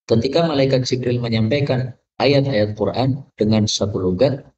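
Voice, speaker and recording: A man's voice: mid-range voice, normal speed, darkish tone. A male Islamic teacher giving a hadith lesson, reading the Arabic text phrase by phrase and explaining each part. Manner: reads an Arabic chain of narrators, then explains each phrase in Indonesian. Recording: echoey, lo-fi, from a distance.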